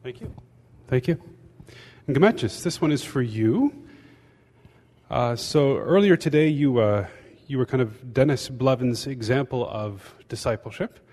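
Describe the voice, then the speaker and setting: Panel moderator, distant in a hall. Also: male voice, medium pitch, measured pace, pauses often, a little mellow. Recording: hall reverb, distant mic.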